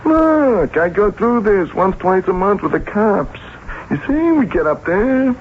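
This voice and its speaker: Radio drama janitor: slangy, sarcastic American, higher-pitched, thin noisy old broadcast sound. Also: male voice, sing-song, a little slow, phone-quality sound, full character voice. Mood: chatty, dismissive. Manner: blunt, slangy, wisecracking. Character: gruff, sarcastic male city janitor.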